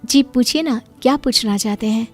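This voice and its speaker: Earnest young daughter, higher voice played by a woman. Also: moderate pace, faint music behind, close to an everyday voice. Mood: polite, firm. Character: hurt but self-respecting young schoolteacher daughter. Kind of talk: moral story cartoon dialogue.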